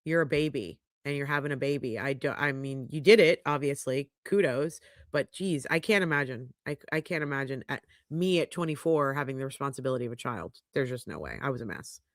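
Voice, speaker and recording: A woman's voice: a bass voice, expressive, a little fast, hardly any pauses, dry recording, studio quality. A middle-aged woman hosting a livestream, congested and sniffly, chatting frankly as she reads viewer comments aloud. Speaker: podcast host reading live chat. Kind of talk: casual livestream chat. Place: home podcast studio. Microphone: studio microphone.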